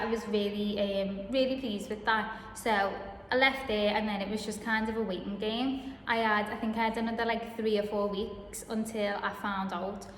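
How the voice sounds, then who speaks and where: A woman's voice: medium pitch, fairly bright tone. Girl late 20s telling her story, lots of ums, echoey room with a bit of hum. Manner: chatty, frequent ums and restarts. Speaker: vlogger. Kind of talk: personal storytelling to camera.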